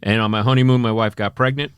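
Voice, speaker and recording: A middle-aged man with a Long Island New York accent, a guest comedian telling stories in a casual podcast chat with deadpan punchlines. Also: on the low side, quick pace, smooth, no room sound, lo-fi sound. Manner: wry storyteller, deadpan punchlines. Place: living in Los Angeles for 24 years.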